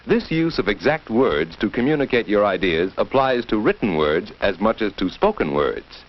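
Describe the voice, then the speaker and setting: A man's voice: lowish voice, sing-song, normal speed. American male narrator, very varied intonation. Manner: formal, instructive. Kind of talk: scripted educational film narration. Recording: dull vintage film soundtrack.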